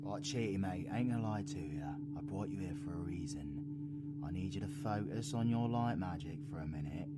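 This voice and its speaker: A man with a British accent playing a brooding, foul-mouthed dark-magic supervillain in an audio roleplay, intense and full of slang. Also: deep voice, fairly quick, heavy background noise, lightly acted. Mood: frustrated, searching. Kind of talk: dramatic audio roleplay acting.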